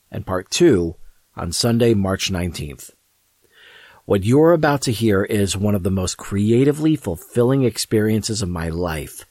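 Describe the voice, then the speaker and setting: A man's voice: on the low side, darkish tone, no room sound. A man reading a scripted introduction as the producer and host of an audio drama.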